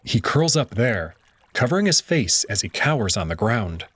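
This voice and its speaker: Male narrator for a game reading; measured, tense delivery, husky tone. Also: medium pitch, very animated, a bit bright, no room sound. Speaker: visual novel narrator. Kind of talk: first-person story narration.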